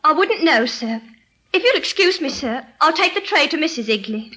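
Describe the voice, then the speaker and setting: A meek young country maid in a vintage radio play. Her voice is extremely high, bright and lively in pitch, with a hesitant, deferential manner. It comes through a crackly old recording. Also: moderate pace, faint music behind. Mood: flustered. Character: timid young country housemaid, earnest and deferential. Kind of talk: old-time radio drama acting.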